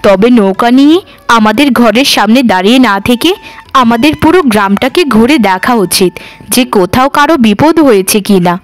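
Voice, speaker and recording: A woman's voice: a little high, expressive, a little fast, natural voice. A woman playing a protective, plainspoken village mother in a cartoon, with an assured manner. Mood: concerned. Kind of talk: Bengali cartoon dub acting.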